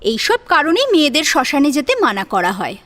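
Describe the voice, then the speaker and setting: A woman narrates a dramatic scripted tale. Her voice sits high and moves in lively swings, giving the storytelling an expressive feel. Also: a little fast.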